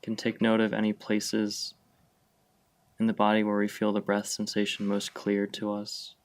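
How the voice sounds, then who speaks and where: A man leading a guided meditation, giving gentle, unhurried instructions with long pauses between phrases. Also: on the low side, completely flat delivery. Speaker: meditation guide, mindfulness fellow. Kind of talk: guided meditation, slow and spacious.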